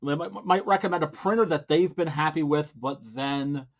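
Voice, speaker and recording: A man's voice: a little high, moderate pace. Blunt middle-aged man, casual vlogger. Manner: blunt, informal, mildly profane. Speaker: comic book creator and video series host. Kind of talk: casual instructional vlog to camera. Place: small wood-panelled room at home, indoors. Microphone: large studio mic on boom arm with pop filter.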